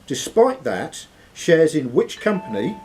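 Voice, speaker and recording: A man's voice: on the high side, big pitch swings, takes it slowly, darkish tone, faint music behind. A man working as quizmaster, reading quiz questions aloud to contestants. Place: social club room with players around. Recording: noisy live room recording.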